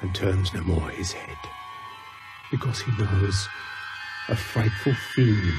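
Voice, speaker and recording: An actor playing a nervous, bookish middle-aged professor in an audio horror drama, hesitant, stammering and polite. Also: male voice, deep voice, slow, music in the background. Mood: brooding.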